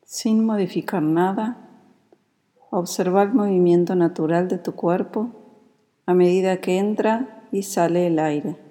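A woman with a Rioplatense Spanish accent guides a meditation in a calm, soothing, unhurried manner. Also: lowish voice. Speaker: yoga nidra meditation guide. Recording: long reverberant hall-like echo.

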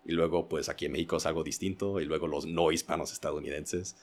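A man's voice: rumbling low, steady tone, quick pace, darkish tone. A man talks casually as a podcast guest. He reflects in a personal way, searching for words and often restarting to qualify what he means. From United States, Indiana. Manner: hesitant, self-correcting, qualifying himself.

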